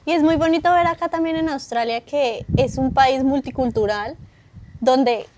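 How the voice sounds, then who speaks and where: A woman's voice: sits high, normal speed. Colombian woman host, faint noise behind. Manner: agreeable, short affirmations, builds on the guest's points. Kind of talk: conversational interview.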